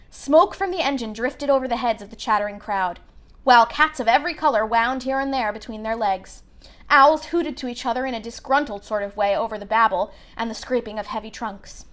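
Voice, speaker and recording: A woman reads a story aloud as narrator. Her voice sits high, and she tells it expressively in a storybook style. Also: fairly bright tone.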